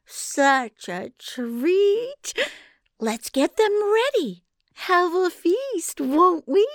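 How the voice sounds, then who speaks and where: A woman voicing a huge, ugly female giant with a sickly sweet, syrupy voice, cooing and wheedling in a drawn-out way. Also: very high-pitched, very animated, no room sound, studio quality, voice pushed up, heavily acted. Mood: gleeful.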